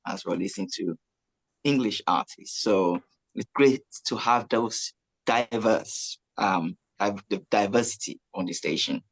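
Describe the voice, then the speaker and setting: A man's voice: fairly low pitch, measured pace, vocal fry, rough recording. A man hosting a radio interview, friendly and chatty, with frequent filler phrases. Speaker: radio host.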